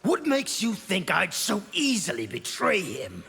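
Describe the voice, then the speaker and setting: A brutal video game outlaw warrior who taunts with menace. The voice is extremely raspy and forceful, with lively swings of pitch. It rings through a big reverberant space. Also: male voice, unhurried, heavily acted. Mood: menacing, defiant. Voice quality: gravelly, raspy. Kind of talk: video game villain acting. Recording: reverberant game arena hall.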